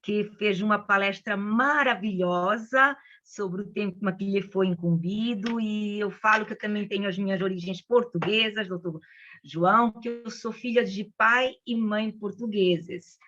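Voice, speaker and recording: A woman of medium pitch, Spanish-born, speaks Portuguese in chatty, friendly remarks and restarts often. The audio is dull and lo-fi. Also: measured pace, fairly bright tone, a little hiss or hum. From Venezuela. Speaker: invited lecturer. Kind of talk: informal opening remarks at an online conference.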